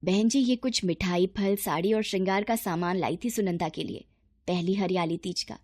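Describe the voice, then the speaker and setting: A woman's voice: medium pitch, moderate pace, darkish tone, dry recording, clean studio sound, close to an everyday voice. A woman voicing a humble middle-aged mother in a moral story, meek and apologetic in manner.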